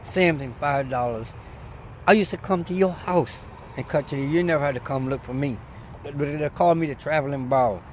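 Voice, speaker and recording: A middle-aged man from Barbados, a former barber, telling stories in an animated, emphatic way during a casual outdoor interview by a street. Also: on the high side, brisk. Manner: animated storyteller, loud emphatic bursts. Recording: faint street noise outdoors.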